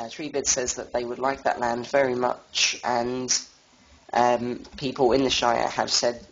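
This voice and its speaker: A young man with a cold giving an informal lore talk as a tour guide, halting and full of fillers. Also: medium pitch, a little hiss or hum. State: has a cold. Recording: dull lo-fi voice chat audio.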